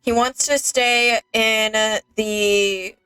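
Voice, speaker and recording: A woman giving casual commentary on a game, explaining things in a halting way. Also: a little high, fairly even intonation, pushed and bright, clear, resonant tone, rough recording. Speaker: gaming companion. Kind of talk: casual let's play commentary. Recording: game music behind the voice.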